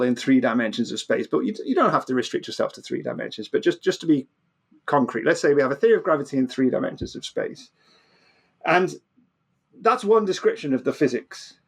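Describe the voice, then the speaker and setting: A man explaining physics conversationally as an interview guest, often repeating words and restarting mid-phrase. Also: fairly high pitch.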